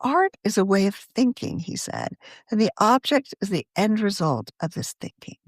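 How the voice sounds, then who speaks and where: A woman reading a scripted magazine article aloud for a podcast in a measured, articulate way. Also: medium pitch, big pitch swings, no room sound, clean studio sound. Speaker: magazine editor reading her own article.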